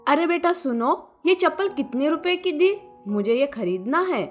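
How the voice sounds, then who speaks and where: A woman's voice: high voice, animated, unhurried. A woman voicing a curious, gushing lady of the house in a cartoon village story, full of exclamations. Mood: eager. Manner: exclaiming, effusive. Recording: music bed behind the voice.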